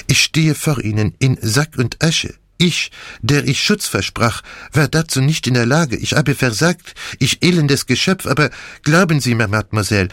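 A man reading an audiobook, voicing a courteous, fatherly gentleman who speaks in a gentle, formal, florid way. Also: medium pitch, no room sound, clean studio sound, voice pushed up, close to an everyday voice. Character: courteous, fatherly foreign gentleman, earnest and theatrically humble. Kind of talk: audiobook dialogue.

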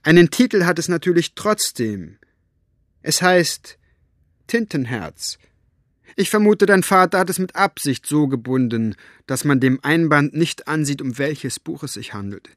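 A man voicing a gruff, sharp-tongued woman book collector who talks brusquely and mockingly. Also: on the high side, expressive, unhurried, no echo, clean studio sound.

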